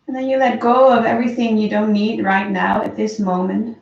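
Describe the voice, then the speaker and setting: A woman slowly and softly guiding a breathing meditation, calm with long pauses. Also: a little high, gravelly. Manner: slow, soft, calm guidance with long pauses. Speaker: meditation teacher. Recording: roomy, distant, dull lo-fi sound.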